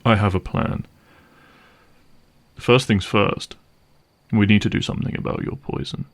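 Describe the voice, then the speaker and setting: Gravelly, low-voiced cynical vampire hunter played by a man. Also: steady tone, measured pace. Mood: guarded, bargaining. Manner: wry, sardonic, menacing. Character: gruff, cynical male vampire hunter for hire. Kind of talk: dramatic audio roleplay monologue addressed to listener.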